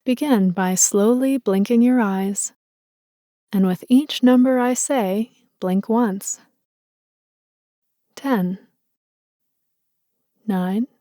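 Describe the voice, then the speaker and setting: A woman guides a meditation in a slow, unhurried voice that is mellow and soft. She sounds gentle and soothing, like a motherly guide. The sound is dry and close, with no room around it. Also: fairly high pitch, no room sound.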